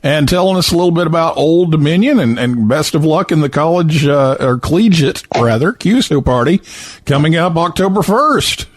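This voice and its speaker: A man's voice: on the high side, animated, normal speed, dry recording. A man hosting a call-in radio show in a casual, folksy interview style, often repeating words as he goes.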